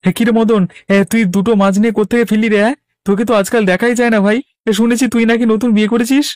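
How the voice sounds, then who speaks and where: A man plays a crafty young cartoon trickster from a village. His voice is fairly high and wheedling, with mock friendliness, recorded dry. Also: steady tone, quick pace, a little mellow, no room sound, natural voice. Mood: mock-friendly. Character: sly, cajoling young village swindler. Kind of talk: Bengali cartoon voice acting.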